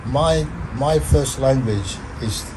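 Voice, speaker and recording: An older man from Nigeria, talking slowly and deliberately as an interview guest in a studio. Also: medium pitch, noisy background. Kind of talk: conversational interview.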